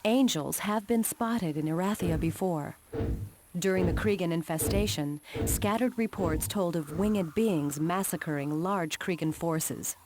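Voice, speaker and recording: Through clearly noisy game audio, a resolute female commander briefs her campaign in a lowish voice. She is solemn and measured, with formal military phrasing. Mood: grave, resolute. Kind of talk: scripted cutscene narration.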